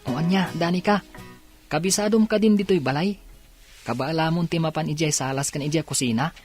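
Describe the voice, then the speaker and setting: A woman's voice: deep voice, a little fast, a little mellow, natural voice. A woman playing a friendly, helpful young cousin in a radio drama. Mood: friendly, curious. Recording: faint music behind the voice. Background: faint music.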